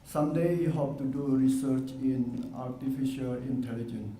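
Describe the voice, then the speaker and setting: Mellow middle-aged man, Indonesian accent. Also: mid-range voice, level tone, moderate pace. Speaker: parent giving a speech. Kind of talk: public speech at a press conference. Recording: echoey room, faint noise.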